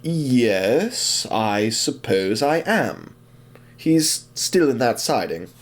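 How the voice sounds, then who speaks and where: A man playing a troubled young little steam engine in an audio drama, earnest, slow and hesitant. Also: medium pitch, big pitch swings, heavily acted.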